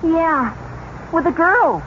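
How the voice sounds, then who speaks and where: A man's voice: very high voice, animated, takes it slowly, slight background noise, full character voice. An actor playing a shy, lovestruck, earnest teenage boy in old radio comedy, gushing and hesitant, on a muffled recording. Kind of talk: 1950s radio comedy acting.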